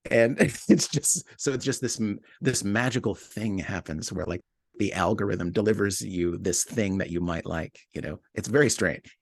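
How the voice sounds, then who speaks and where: Low-voiced man in a dry, close recording. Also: dry recording. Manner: reflective, repeats and rephrases as he goes. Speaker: interview guest, podcast writer and producer. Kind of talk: casual conversational interview.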